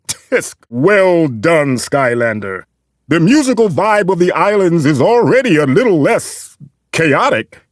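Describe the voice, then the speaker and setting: Fussy, high-voiced mystical guide. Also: male voice, big pitch swings, a little slow, dry recording, studio quality. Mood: grave, reproachful. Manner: formal, dramatic, earnest. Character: fussy, theatrical mystical male guide in cartoon game. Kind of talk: video game voice lines.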